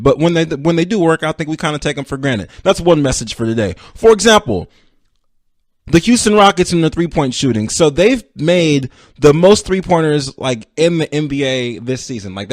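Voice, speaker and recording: A man's voice: on the high side, animated, moderate pace, dry recording, studio quality. A young man hosting a casual solo sports podcast, rambling and self-deprecating as he talks straight to his listeners. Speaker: podcast host.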